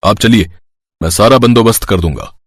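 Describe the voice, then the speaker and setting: A man's voice: on the low side, moderate pace, slightly rough. Man voicing a jovial, effusive lawyer. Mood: delighted. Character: jovial, food-loving male lawyer, eager to help.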